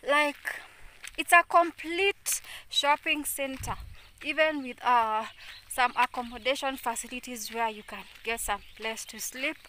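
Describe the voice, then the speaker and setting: A woman's voice: on the high side, sharp tone, slight background noise. A middle-aged Kenyan woman vlogging as she walks along a rural road, chatting to her viewers as "guys", recorded on a phone held at arm's length. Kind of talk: walking vlog chat. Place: rural roadside outdoors.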